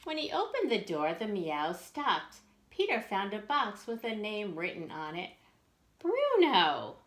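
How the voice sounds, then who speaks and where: A woman reading a picture book aloud to young children in an expressive storyteller's manner, with questions for her listeners. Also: mid-range voice. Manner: slow and expressive, pausing to ask listeners questions.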